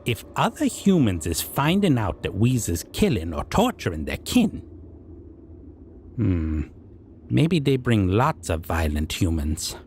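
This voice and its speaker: A man voicing a slow, low, stern goblin king. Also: wildly swooping pitch, darkish tone, a little hiss or hum, heavily acted. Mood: wary, deliberating. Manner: broken, unusual grammar with drawn-out questioning. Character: civilized male goblin king, stern, deliberate, menacing judge. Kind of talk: scripted roleplay audio drama monologue.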